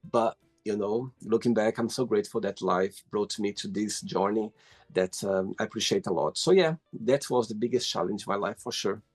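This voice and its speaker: A man's voice: lowish voice, moderate pace, breathy edge, soft background music. Brazilian man hosting an interview. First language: Portuguese. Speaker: host. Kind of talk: casual video call interview.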